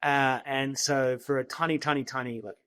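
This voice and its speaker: Man, Australian accent, average pitch, dry sound, interview guest, informal storytelling manner. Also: fairly even intonation, normal speed, a bit bright, clean tone, dry recording. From Sydney, Australia. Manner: informal, anecdotal. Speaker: interview guest, investment executive. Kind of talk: conversational storytelling.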